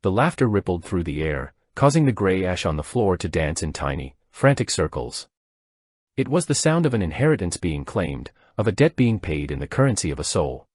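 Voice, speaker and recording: A man narrates a horror tale in a very low, husky voice. His delivery is dramatic and deliberate, with pitch that swings widely from phrase to phrase. The sound is dry and close, with no room around it. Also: sing-song, a little mellow, no echo.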